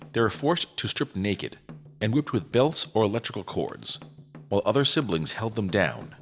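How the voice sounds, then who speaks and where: A man's voice: fairly low pitch, fairly bright tone. A man reading a scripted true-crime story as a podcast narrator.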